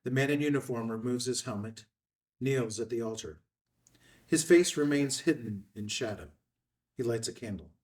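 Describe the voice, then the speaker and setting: A man's voice: medium pitch, expressive, moderate pace, darkish tone, far from the mic. A man reading scene headings and stage directions in a clipped, scripted narration at a table read.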